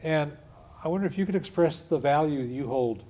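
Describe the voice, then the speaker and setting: A man hosting an interview program, introducing his guests and asking questions in a measured way. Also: fairly high pitch, warm tone. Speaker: radio show host.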